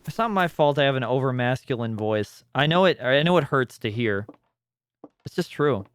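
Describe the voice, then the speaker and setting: A man's voice: mid-range voice, lively intonation, normal speed, smooth, dry recording. A man streaming a game, chatting casually, joking and swearing, on a slightly overdriven mic. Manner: joking, swearing, playful banter with chat. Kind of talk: casual live gameplay chat.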